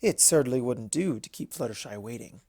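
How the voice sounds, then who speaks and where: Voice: medium pitch, lively intonation, a little fast, warm tone, no room sound, studio quality. A narrator reading a scripted story expressively.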